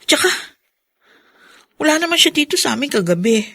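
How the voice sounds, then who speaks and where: Resentful pleading sister in a radio soap, high sharp voice, really animated. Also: female voice, moderate pace, creaky, no echo, studio quality, heavily acted. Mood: puzzled, insistent. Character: resentful, pleading married schoolteacher, the grudging elder sister. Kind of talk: Filipino radio drama acting.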